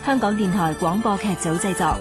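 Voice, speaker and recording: A woman's voice: mid-range voice, a little fast. A woman with a Hong Kong Cantonese accent reading scripted radio drama credits as an announcer. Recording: loud music under the voice.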